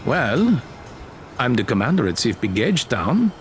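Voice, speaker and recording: A man's voice: mid-range voice, very animated, measured pace. A man playing a genial, persistent military colonel in an audio drama, informal and a little hesitant. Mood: persuasive. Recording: music under the voice.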